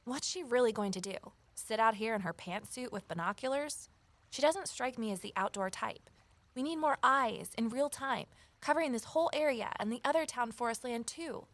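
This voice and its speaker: High-voiced curious woman sleuth. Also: normal speed, fairly bright tone, no room sound. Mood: inquisitive, wry. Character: Evelyn, wary determined former journalist turned amateur sleuth. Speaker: lead character and first-person narrator. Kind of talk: naturalistic audio drama acting.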